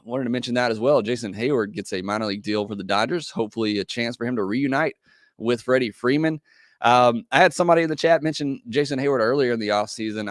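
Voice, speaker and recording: A man hosting a casual live show, reading viewer comments and chatting with lots of fillers, a little hoarse from losing his voice. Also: fairly low pitch, no echo, studio quality. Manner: filler-heavy, conversational, reads viewer comments aloud. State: losing his voice, under the weather. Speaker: podcast host reading live chat. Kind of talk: casual live-stream chat.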